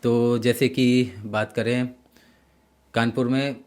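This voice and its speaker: A man's voice: mid-range voice, slow. A man from the Kanpur area with a Kanpuri Hindi accent, a guest anchor chatting on a podcast, telling stories and slipping into mimicked voices. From Ghatampur, Kanpur district, India. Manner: storytelling, lively, slips into voices and local accents. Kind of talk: casual podcast chat with mimicry bits.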